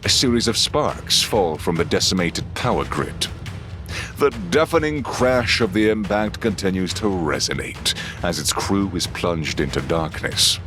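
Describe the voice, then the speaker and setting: A man performing measured, solemn dramatic narration of a space battle for a sci-fi audio drama. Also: deep voice, expressive, music in the background. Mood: grave, measured.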